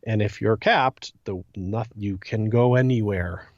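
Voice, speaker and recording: A man's voice: fairly low pitch, unhurried, a little mellow, no room sound, dull audio. A man co-hosting a podcast, chatting casually about a game and repeating phrases for emphasis. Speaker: podcast co-host.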